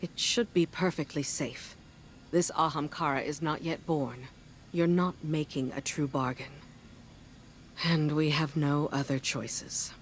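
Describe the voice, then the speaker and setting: A woman's voice: sits low, fairly even intonation, unhurried. A wary female military commander briefs in a businesslike, wry way. It is dry, pragmatic game dialogue over faint background noise. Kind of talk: video game mission dialogue.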